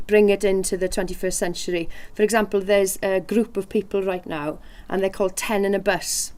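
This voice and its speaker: A woman giving a thoughtful documentary interview, pausing often with ums. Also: mid-range voice, darkish tone.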